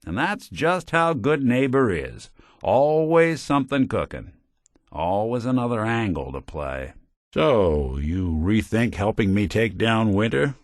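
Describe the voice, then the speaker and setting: An actor playing a weary, sardonic but kind-hearted android detective, delivering dry hard-boiled companion dialogue for a video game. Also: male voice, on the low side, big pitch swings, unhurried, no echo, exaggerated voice. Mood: wry, musing.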